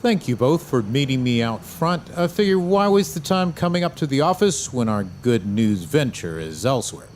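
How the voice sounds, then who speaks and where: A businesslike man, played by an actor, with a lively, animated voice. he talks in a friendly way. faint noise sits behind him in the drama. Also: medium pitch, moderate pace, few pauses, natural voice. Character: businesslike man arranging a meeting.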